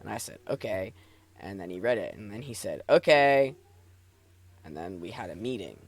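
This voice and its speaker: A man's voice: on the low side, lively intonation, moderate pace, no echo. A man co-hosting a casual livestream, riffing and joking with plenty of swearing. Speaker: stream co-host.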